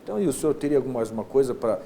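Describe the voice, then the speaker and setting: A Brazilian man hosting a casual interview, phrasing his questions in a halting, repetitive way with frequent checking tags. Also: expressive, creaky, mellow. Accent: Brazilian Portuguese. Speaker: interview host, state deputy. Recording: faint rumbling background noise.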